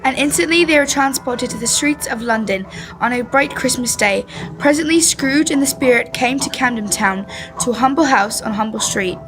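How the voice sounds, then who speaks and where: A woman's voice: fairly high pitch, normal speed, natural voice, low-quality recording. A young woman narrating a scripted radio drama. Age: teens. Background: instrumental music.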